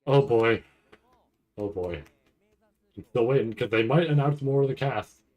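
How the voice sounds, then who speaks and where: A man giving casual live reaction commentary, nervous and rambling, halting and repeating his words as he goes. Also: mid-range voice, dull audio. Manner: anxious, nervous anticipation. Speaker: anime commentator reacting to a livestream event.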